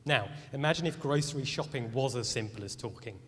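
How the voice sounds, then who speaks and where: A man delivering a rehearsed, scripted startup pitch in a steady manner. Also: a little fast. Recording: long hall reverb.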